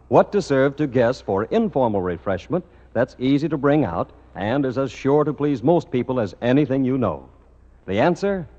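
A man's voice: medium pitch, darkish tone. A man reading scripted copy as a 1950s American radio show announcer. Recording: dull old radio recording, reverberant.

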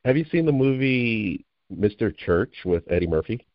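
A man's voice: fairly low pitch, lively intonation, normal speed, no echo. A man hosting an interview, chatting in an enthusiastic, rambling way. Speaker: host. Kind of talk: casual interview talk. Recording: dull lo-fi audio.

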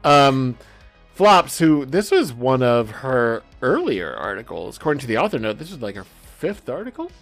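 A man's voice: mid-range voice, very animated, normal speed, fairly bright tone, soft background music. A male podcast co-host chatting casually, enthusiastic and rambling, swearing freely. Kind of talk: casual book-club style chat.

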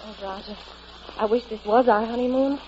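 A sentimental young American wife, acted by a woman in 1940s radio style. Her voice sits a little high, tender and earnest. The recording is dull and clearly noisy. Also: fairly even intonation, moderate pace, natural voice. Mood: wistful. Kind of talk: 1940s radio drama acting. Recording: muffled, noisy old radio broadcast.

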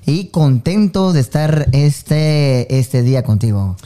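A man in his forties, talks about his life as a guest on a podcast. His voice is mellow and a little high for a man. He speaks in an easygoing way and often repeats his words. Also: animated, takes it slowly. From Guatemala. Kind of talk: casual interview.

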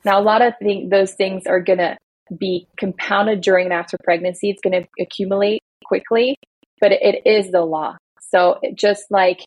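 Woman attorney guest, earnest explainer, breathy-husky tone, dry studio sound. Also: medium pitch, darkish tone, dry recording, rough recording. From Belgium. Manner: earnest, explanatory, speaks in long building lists. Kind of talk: casual podcast interview.

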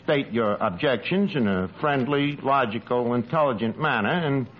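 An actor playing a brash, wisecracking New York tavern manager with ungrammatical, mock-refined speech, in 1940s radio comedy style. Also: male voice, medium pitch, animated, normal speed, natural voice. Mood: wry. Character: brash, malapropping tavern manager with pretensions to class. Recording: noisy, muffled old radio broadcast recording.

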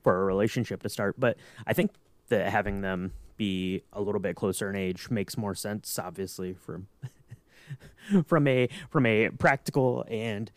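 A man's voice: on the low side, a little slow, no echo. A man on a podcast panel chatting casually in a thoughtful way, reasoning aloud as he goes. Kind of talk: casual chat.

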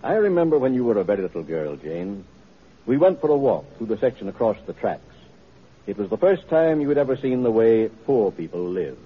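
An actor playing a kindly, gently probing father in an old-time radio drama, speaking in a measured, fond way on a vintage recording. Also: medium pitch, very animated, breathy edge, narrow, tinny audio. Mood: fond, knowing. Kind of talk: 1940s radio drama acting.

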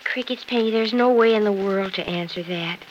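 A woman's voice: mid-range voice, moderate pace, muffled recording, lightly acted. An actress playing an earnest, devoted young woman in an old-time radio drama, speaking emphatically. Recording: noisy vintage radio recording.

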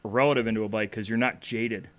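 A man's voice: fairly low pitch, fairly even intonation, fast talker. A man in his forties hosting a podcast in loose, casual interview chat, swearing freely. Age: 40s. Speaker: podcast host.

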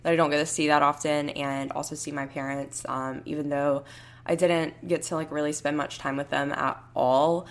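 A young woman in her twenties hosting a solo podcast from home, her voice hoarse and raspy, rambling casually with lots of fillers. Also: sits low, little pitch movement, moderate pace. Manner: rambling, heavy use of "like" and "um". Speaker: podcast host.

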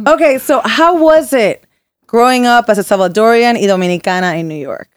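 A woman co-hosting an interview, lively and quick to slip Spanish words into her English. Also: fairly high pitch, clean tone, dry recording. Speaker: co-host. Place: recording studio.

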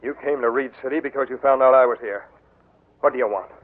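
A jumpy former bank robber, played by a man, talking fast and on edge. He comes through a thin, tinny old radio broadcast. He sounds cornered and defensive. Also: medium pitch, lightly acted. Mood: nervous. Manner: tense and defensive. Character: nervous male clerk, former bank robber. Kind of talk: 1940s radio acting.

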